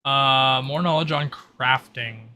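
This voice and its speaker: A man's voice: medium pitch, slow, sharp tone. A man acting as game master, explaining options in a hesitant, improvised way over an online voice call. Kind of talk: improvised narration of an online tabletop roleplay.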